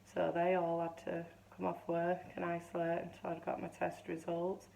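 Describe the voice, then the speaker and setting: Low gravelly woman in her fifties, slightly hoarse. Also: completely flat delivery, normal speed, a little mellow, slight background noise, poor audio. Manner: rambling, chatty storytelling with frequent restarts. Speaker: vlogger. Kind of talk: casual chatty vlog.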